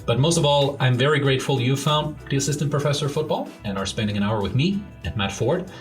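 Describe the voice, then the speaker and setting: A man's voice: medium pitch, lively intonation, fast talker, faint music behind. A man hosting a football podcast, earnest and slightly formal, reading his intro and asking questions. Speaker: podcast host. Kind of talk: scripted podcast intro and interview.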